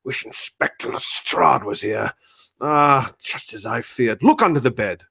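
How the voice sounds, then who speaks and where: A man playing a brisk, earnest great detective in a comic radio play parody, making dramatic deductions in a formal, declamatory way. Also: mid-range voice, animated, gravelly, pushed and bright, breathy edge, exaggerated voice. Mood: grave.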